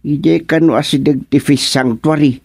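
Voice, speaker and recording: A man's voice: fairly high pitch, fairly even intonation, moderate pace, gravelly, darkish tone, no room sound, clean studio sound. An actor playing a stubborn, reassuring older fisherman father with an Ilocano accent in a radio drama comedy. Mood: reassuring, dismissive.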